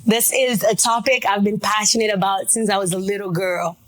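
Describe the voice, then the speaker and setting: A woman hosting a talk show discussion, chatty and direct, with quick asides to her audience. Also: medium pitch, level tone.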